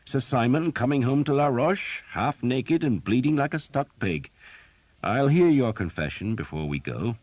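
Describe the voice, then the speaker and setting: A man's voice: medium pitch, expressive, moderate pace, a little mellow, no room sound, voice pushed up, natural voice. A man voicing a cheerful older priest, in a dry, close recording.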